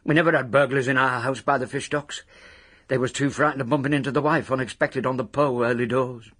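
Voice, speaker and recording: An actor playing a small, obsessive, retired old removals packer in a radio monologue, husky and wordy, wistful and full of self-importance. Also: male voice, medium pitch, steady tone, fairly quick, fairly bright tone, natural voice. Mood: proud, wistful. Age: older. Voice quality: raspy, husky. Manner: wordy, self-important, working-class grammar with grand phrases.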